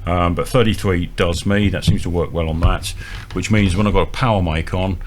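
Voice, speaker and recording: Low-pitched man explaining gear over faint hum. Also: steady tone, brisk. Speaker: presenter demonstrating radio equipment. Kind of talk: informal hands-on demonstration. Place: indoors at a small equipment bench. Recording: audible electrical hum and noise.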